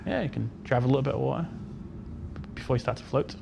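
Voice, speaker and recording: Loud vehicle noise behind, man, quick pace, casual technical explainer, halting with fillers. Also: medium pitch, gravelly. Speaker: game developer answering questions.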